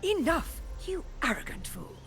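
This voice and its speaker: A woman's voice: high-pitched, wildly swooping pitch, measured pace, vocal fry, fairly bright tone, a little hiss or hum, exaggerated voice. A woman playing a stern, imperious priestess in a video game, formal and commanding. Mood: outraged.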